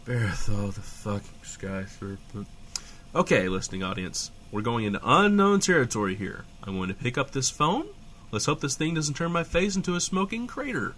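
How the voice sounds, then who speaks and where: An actor playing a sarcastic, hedging, foul-mouthed small-town radio host in a comedic audio drama, trading banter. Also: male voice, medium pitch, expressive, moderate pace, a bit bright, slight background noise, close to an everyday voice. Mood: reluctant. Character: irreverent, flustered small-town radio host, adult man.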